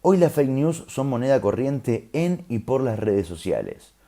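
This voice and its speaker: Argentine man narrating in a very dark, mellow voice. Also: mid-range voice, big pitch swings, unhurried. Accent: Rioplatense Argentine. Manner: conversational, explanatory. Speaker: storyteller host. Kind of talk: scripted narration with colloquial asides.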